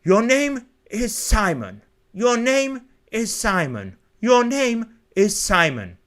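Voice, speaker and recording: A man's voice: high-pitched, very animated, slow pace, a bit bright, voice pushed up, exaggerated voice. A man voicing a prim, unflappable butler with an upper-class English accent, formal and haughty, in a story reading. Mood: measured.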